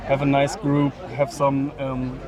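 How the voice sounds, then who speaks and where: A man's voice: mid-range voice, monotone, a little slow. A man from Germany, speaking friendly, simple English with small slips. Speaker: tourist being interviewed. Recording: crowd chatter around.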